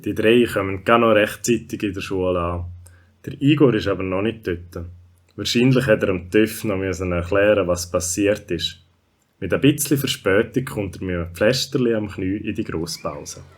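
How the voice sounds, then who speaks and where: A man's voice: on the low side, normal speed. A man with a Swiss German accent narrating a scripted children's story.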